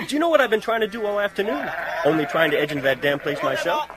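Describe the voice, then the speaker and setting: An actor playing a brash, excitable small-time American crook in a radio drama, slangy and blustering. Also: male voice, high voice, fast, a crowd in the background, lightly acted. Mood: excited, indignant. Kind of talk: 1980s radio drama acting.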